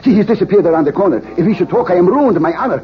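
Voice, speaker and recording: An actor playing a wary, proud Latin American colonel in 1940s radio drama, speaking formally and conspiratorially with a Spanish accent. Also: male voice, high-pitched, expressive, very fast. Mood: alarmed. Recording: muffled old 1940s radio recording.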